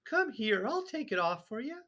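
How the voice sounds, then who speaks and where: A man's voice: very high-pitched, big pitch swings, normal speed, pushed and bright, voice pushed up, full character voice. A man voicing a bossy, know-it-all mother who scolds her husband primly. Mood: prim scolding. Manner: prim, scolding.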